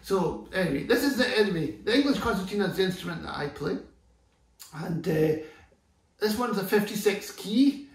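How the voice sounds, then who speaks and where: A man's voice: sits high, animated, moderate pace. A Scottish man in his fifties explaining an instrument in a chatty, enthusiastic way, often restarting his sentences, seated in a living room. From Scotland. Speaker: concertina teacher. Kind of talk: relaxed tutorial explanation. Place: living room, sitting on sofa. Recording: echoey room from far off.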